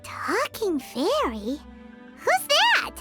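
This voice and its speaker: A woman voicing a tiny, childlike fairy companion in a video game, cheeky and chatty with a squeaky tone. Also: wildly swooping pitch, drawn-out words, heavily acted. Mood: puzzled, curious. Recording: music under the voice.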